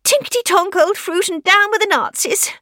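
A woman voicing a feisty widow from a wartime village, loud and dramatic in her exclamations. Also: extremely high pitch, moderate pace, dry recording, clean studio sound, voice pushed up. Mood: agitated.

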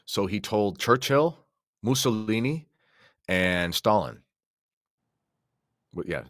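A man's voice: fairly low pitch, lively intonation, slow pace, fairly bright tone, dry recording, studio quality. A man co-hosting a podcast, telling stories in a casual, informal, somewhat hesitant way. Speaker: podcast co-host. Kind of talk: casual chat and storytelling.

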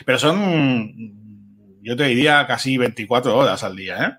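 A man's voice: mid-range voice, lively intonation, normal speed, a bit bright, slightly rough. A man with an accent from Spain talking casually as an interview guest, emphatic and often repeating his words. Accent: Spanish from Spain. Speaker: podcast guest, filmmaker.

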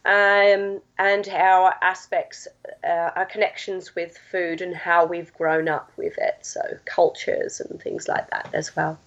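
A woman from England with a husky voice, chatting as a guest and explaining at length with many fillers. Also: fairly low pitch, level tone, takes it slowly, a bit bright. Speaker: guest, health science lecturer. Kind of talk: phone-in podcast interview. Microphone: phone line. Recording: dull lo-fi phone line.

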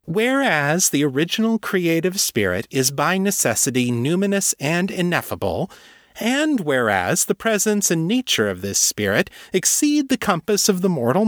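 A man's voice: on the high side, no echo, clean studio sound, voice pushed up. A man voicing an angry young feline priestess who speaks in a fierce, accusatory way. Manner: shouting, snarling, accusatory.

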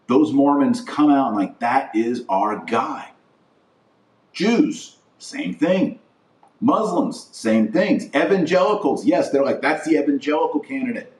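A man's voice: medium pitch, darkish tone. An American man hosting a podcast, delivering an earnest political and religious monologue with emphatic repetition and building lists. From United States. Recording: roomy echo, distant from the mic.

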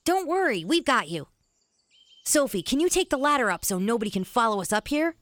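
A woman's voice: fairly high pitch, big pitch swings, brisk, a bit bright, no echo. A female performer playing a cautious, sensible, skeptical young boy in a children's audio drama, earnest and worried. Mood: practical.

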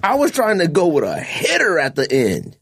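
Animated laughing male podcast host. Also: fairly high pitch, moderate pace, a little hiss or hum. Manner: animated, joking, laughs often, slangy. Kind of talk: casual banter among friends.

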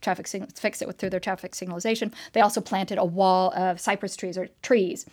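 A woman explaining technology as an interview guest, animated and talkative with frequent fillers and restarts. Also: medium pitch, a little fast. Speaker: tech expert guest. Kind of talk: casual interview chat. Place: trade show floor.

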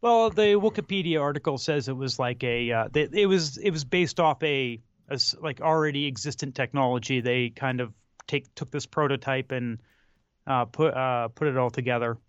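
A man chatting casually as a podcast co-host, halting and searching for words, with dry tongue-in-cheek humor. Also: mid-range voice, clear, resonant tone, dry recording, muffled recording. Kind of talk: casual film discussion.

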